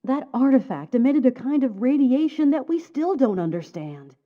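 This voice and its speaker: A woman's voice: high voice, big pitch swings, moderate pace. A woman playing an earnest, insistent mother and scientist in a dramatic video game scene. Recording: tinny, narrow phone-like muffled sound.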